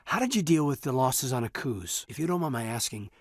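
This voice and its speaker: An actor playing an earnest, thoughtful military officer in a sci-fi video game, speaking sincerely and respectfully with a husky voice. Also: male voice, medium pitch, very animated, fairly quick, no echo, studio quality. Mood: somber, sympathetic. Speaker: video game character, soldier. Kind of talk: video game voice acting, conversational dialogue.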